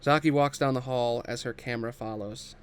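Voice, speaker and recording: A man's voice: mid-range voice, brisk, smooth. Man narrating a script read-through. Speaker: narrator reading stage directions.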